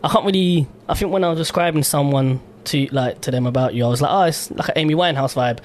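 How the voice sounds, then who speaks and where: Casual radio interviewer, very quick delivery, informal slang, frequent affirming interjections. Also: mid-range voice. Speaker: radio show host and music artist.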